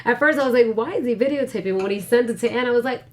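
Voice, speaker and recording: A woman's voice: a little high, rapid-fire, over a noisy crowd. A woman chatting casually as a show panelist, informal and enthusiastic. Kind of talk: casual chat.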